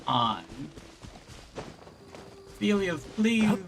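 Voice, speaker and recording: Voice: mid-range voice, very animated, very slow, creaky, background noise. A video game streamer giving casual live commentary, thinking aloud and muttering to themselves while playing. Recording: game music behind the voice. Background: video game music.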